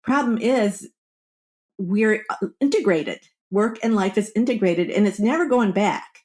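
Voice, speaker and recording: A woman in a podcast interview, speaking at a middling pitch. She explains things like a coach, in a conversational way, and slips into short example quotes to make her points. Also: unhurried, a little mellow. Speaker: wellbeing coach, podcast guest.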